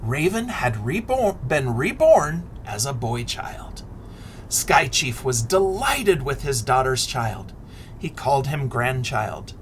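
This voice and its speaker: A middle-aged man reading a picture book aloud expressively to children, deliberate and dramatic, often dropping into a hush. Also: medium pitch, sing-song, slight background noise. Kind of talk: expressive children's read-aloud with asides and questions.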